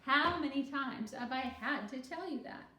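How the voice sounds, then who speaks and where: A woman's voice: high voice, moderate pace, bright, forceful tone, far from the mic, voice pushed up. A woman voicing a confident, theatrical little schoolgirl who tells stories with bossy, exasperated emphasis. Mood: impatient, emphatic. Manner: theatrical, emphatic, self-assured. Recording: roomy echo, faint background noise.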